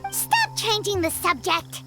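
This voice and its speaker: A woman voicing a small, childlike fairy companion girl in a video game, excitable and exclamatory with a squeaky voice. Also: slow pace, loud music behind the voice, heavily acted. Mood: indignant. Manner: exclamatory, refers to self in third person.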